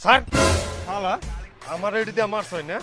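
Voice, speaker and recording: Scrappy, vengeful prankster played by a man, high voice, rural Bengali dialect, coarse taunts, comedy skit over prominent music. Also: fairly even intonation, normal speed, close to an everyday voice. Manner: taunting, coarse street talk. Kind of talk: slapstick comedy skit acting. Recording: music behind the voice.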